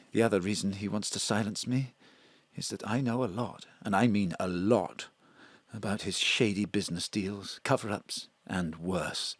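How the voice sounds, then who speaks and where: A man's voice: mid-range voice, no room sound, lightly acted. An actor playing a frightened male drag performer, with a theatrical, swooping storytelling manner. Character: male drag performer Bruno, also Mona, frightened fugitive. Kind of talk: audio drama acting. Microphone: home studio mic.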